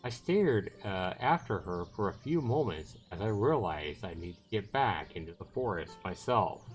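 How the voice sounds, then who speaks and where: A man's voice: lowish voice, very animated, normal speed. A man hosting a game livestream, reading story text aloud expressively and dropping in casual asides. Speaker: livestream host playing a visual novel. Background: game background music.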